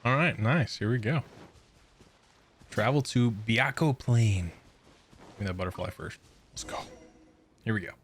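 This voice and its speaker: A man's voice: medium pitch, expressive, a little slow, pauses often, slight background noise. A young man giving casual, slangy and playful gameplay commentary as a streamer. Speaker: gaming streamer.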